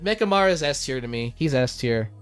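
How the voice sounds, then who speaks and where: A man's voice: mid-range voice, expressive, fairly quick, clear, resonant tone. A young man co-hosting a casual ranking chat, slangy and blunt with his verdicts. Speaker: co-host running a character ranking. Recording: music playing behind the voice. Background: music.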